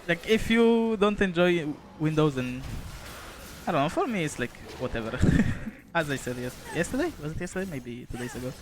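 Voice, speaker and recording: A man's voice: fairly high pitch, expressive, moderate pace, background noise. A young man from Poland, a streamer giving casual, laid-back gameplay commentary, chatting and swearing now and then.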